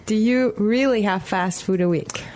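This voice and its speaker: A woman co-hosts a language-learning podcast with a mid-pitched voice. She sounds conversational yet instructive, presenting phrases clearly for learners. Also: normal speed, darkish tone. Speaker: podcast co-host and language teacher.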